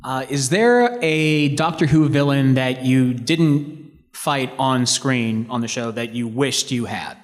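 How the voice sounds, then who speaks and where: A man with a mid-pitched voice puts a question to a panel at an unhurried, slow pace. The sound carries the long reverb of a hall. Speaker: audience member asking a question.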